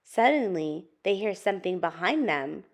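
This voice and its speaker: Animated woman reading to children. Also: fairly low pitch, moderate pace, vocal fry. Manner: expressive, addressing young children. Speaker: storytime host and narrator. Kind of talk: children's picture book read-aloud.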